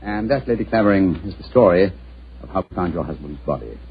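A man playing a shrewd gentleman detective in an old radio drama, precise and authoritative in his speech. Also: deep voice, animated, fast talker. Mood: commanding, assured. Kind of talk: old-time radio acting. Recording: muffled old radio recording, hissy.

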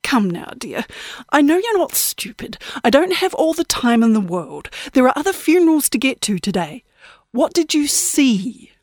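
A woman voicing a prim, eerie old woman who haunts funerals, speaking in a genteel, unhurried, knowing way. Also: sits high, big pitch swings, a little mellow, dry recording, clean studio sound, pitched above the performer's own voice, full character voice. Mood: calm, knowing.

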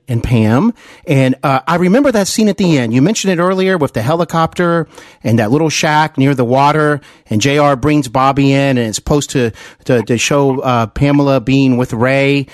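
A man's voice: mid-range voice, dry recording, studio quality. A man from the American Midwest hosting a conversational podcast interview, appreciative and a little hesitant in his phrasing. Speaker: podcast host.

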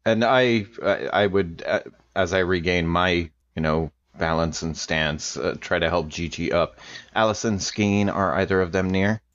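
A man's voice: low-pitched, steady tone, unhurried, fairly bright tone. A man talking casually as a tabletop game player, tentative and thinking aloud. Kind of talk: casual in-character game chat. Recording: dull, lo-fi microphone.

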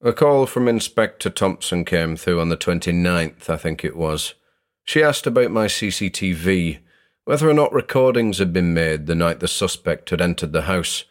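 A man narrating a first-person horror story in a measured, dramatic way. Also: deep voice, expressive.

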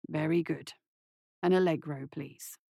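Woman doing a creepy polite doctor guy, lowered voice, measured and soothing, horror audiobook, dry sound. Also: steady tone, creaky, dry recording, exaggerated voice. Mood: coaxing. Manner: measured, softly commanding. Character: calm, sinister male surgeon, soothing and polite.

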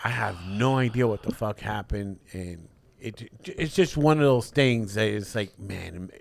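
A man co-hosting a podcast, telling profane stories in casual banter and bursting into loud laughter. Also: lowish voice, a little slow, vocal fry, dry recording. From United States. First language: English. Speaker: podcast co-host.

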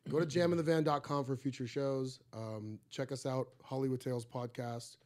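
A man's voice: mid-range voice, steady tone, moderate pace. Chatty joking man hosting a podcast. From Egypt. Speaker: podcast host. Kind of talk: casual podcast chat. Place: podcast studio.

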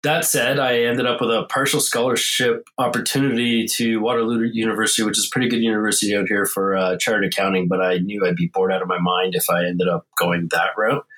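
A man's voice: fairly low pitch, level tone, a little fast, few pauses, a bit bright. A man in his forties, talking as a podcast guest in a loose, rambling way with many fillers and restarts. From Canada. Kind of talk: casual interview conversation.

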